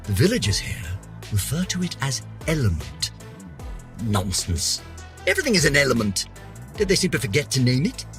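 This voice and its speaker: A man's voice: mid-range voice, very animated, normal speed, fairly bright tone, faint music behind. An actor voicing a pompous, vain older British scientist, theatrically narrating his journal for a game with haughty, florid melodrama. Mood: indignant. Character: pompous, vain, aging male scientist, indignant and obsessive.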